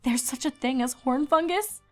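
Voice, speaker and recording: A woman's voice: high voice, normal speed, darkish tone, soft background music, natural voice. A woman voicing an irritable, snappy adult alicorn pony princess in a cartoon fan-fiction story. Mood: snappy, exasperated. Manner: snappy, grumbling. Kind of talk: dramatized fan fiction reading.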